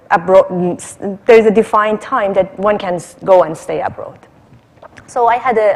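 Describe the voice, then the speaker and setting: A woman's voice: medium pitch, darkish tone. Woman from Iran in a reverberant hall. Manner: conversational, checks in with "right?". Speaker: researcher on a panel. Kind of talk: personal anecdote in panel discussion.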